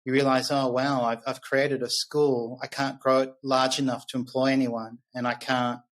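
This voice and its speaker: A man's voice: mid-range voice, flat delivery, normal speed, a bit bright. A middle-aged man with an Australian accent telling personal stories conversationally as a podcast guest, candid and reflective.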